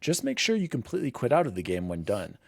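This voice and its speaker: Animated man hosting a gaming podcast. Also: medium pitch, fast, gravelly, breathy edge, dry recording, clean studio sound. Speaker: podcast host. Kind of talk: casual gaming podcast chat.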